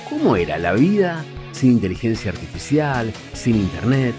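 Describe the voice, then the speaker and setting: A man's voice: medium pitch, big pitch swings, moderate pace. A man with an Argentine accent reading scripted narration for a radio documentary. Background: guitar music.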